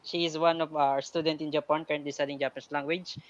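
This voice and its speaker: A man's voice: a little high, little pitch movement, fast, no echo. Guy hosting an online orientation on a headset, polite and thankful, dry muffled sound. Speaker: host of the orientation.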